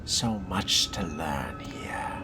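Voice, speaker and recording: A man's voice: very deep voice, lively intonation, drawn-out words, full character voice. A man voicing a wry, inquisitive vampire fledgling in a video game role-play, articulate, musing and sardonic. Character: wry, inquisitive young male vampire fledgling with refined diction. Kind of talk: role-played game narration and dialogue. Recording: loud background music.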